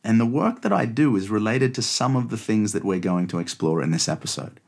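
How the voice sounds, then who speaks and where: A man's voice: fairly low pitch, expressive, fairly quick, creaky, a little mellow, husky. A man in his thirties with an Australian accent, guest-hosting a podcast with an earnest, reflective monologue. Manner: earnest, reflective, tags lines with "right?". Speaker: guest host.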